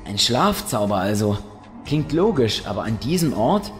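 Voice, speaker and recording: A man's voice: lowish voice, big pitch swings, normal speed. A man playing a bold, protective young swordsman with a wry streak in a fantasy audio drama. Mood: wry, calm. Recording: long cave-like reverb, loud music.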